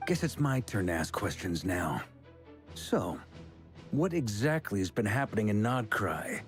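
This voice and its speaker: A man playing a seasoned, easygoing middle-aged knight commander in a video game, speaking in a measured, reassuring, thoughtful way. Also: fairly low pitch, big pitch swings, over music. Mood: grave, steady.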